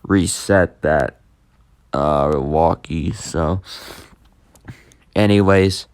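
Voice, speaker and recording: A man with a low, smooth voice walks through an app in a casual, unscripted way. He takes his time, often pausing partway through a sentence. The sound is dry and close, with little room on it. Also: a little mellow, no echo. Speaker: YouTube host demonstrating an app. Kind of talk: casual unscripted tutorial commentary.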